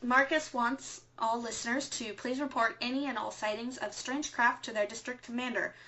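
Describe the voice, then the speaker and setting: A woman's voice: on the high side, steady tone, normal speed, pushed and bright, dull audio. A woman playing an earnest, dutiful resistance broadcaster co-hosting an underground radio show in an audio drama, with an announcer-like manner. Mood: official, earnest.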